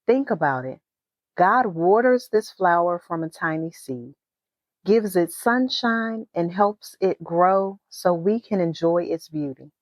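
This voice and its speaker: A woman voicing a gentle, patient father in a children's storybook. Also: on the low side, expressive, slow, no room sound. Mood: gentle, thoughtful. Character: gentle, thoughtful father of a young girl. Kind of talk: children's storybook read-aloud.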